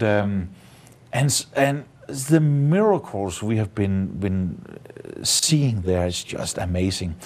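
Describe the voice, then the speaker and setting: A man's voice: medium pitch, a little mellow. A man from Denmark with a Danish accent, telling stories as a guest in a studio interview, with many fillers. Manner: halting, many fillers, non-native grammar. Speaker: evangelist guest. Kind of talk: interview testimony. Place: studio set, indoors. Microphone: lapel mic.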